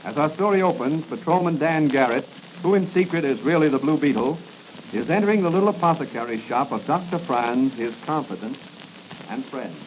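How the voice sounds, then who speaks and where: A man announcing in a dramatic 1940s radio narration style, declamatory, with deliberate emphasis. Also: fairly high pitch, lively intonation, slight background noise. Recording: muffled 1940 radio recording.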